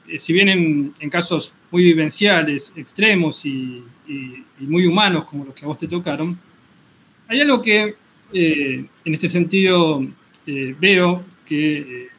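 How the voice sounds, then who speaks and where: A man with an Argentine accent, a workshop teacher and radio host, speaking reflectively and informally in wordy, halting sentences. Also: on the high side, fairly bright tone, a little hiss or hum, rough recording.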